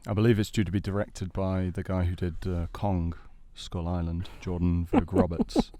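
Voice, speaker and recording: Low-voiced man in a casual panel debate. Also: flat delivery, moderate pace, dark tone. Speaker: debate show host and panelist. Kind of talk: casual panel debate about games and film.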